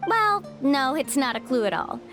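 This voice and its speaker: Cheerful young tour guide girl, upbeat and bouncy, soft game music. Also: high voice, very animated, normal speed. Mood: confident. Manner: upbeat, playful, confident. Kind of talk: video game voice acting. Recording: game audio with music behind.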